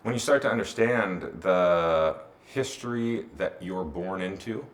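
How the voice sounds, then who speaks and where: A man's voice: deep voice, animated, unhurried. An American man answering interview questions, earnest and emphatic, posing rhetorical questions and answering them himself. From United States.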